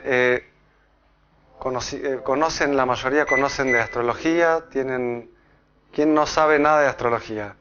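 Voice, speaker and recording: A man's voice: mid-range voice, a bit bright, lo-fi sound, slight background noise. A man with an Argentine accent giving a live talk to an audience, often restarting phrases and checking in with "¿no?". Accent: Argentine Spanish. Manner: halting, with many restarts and checks of "¿no?". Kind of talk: live public lecture. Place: lecture room with an audience.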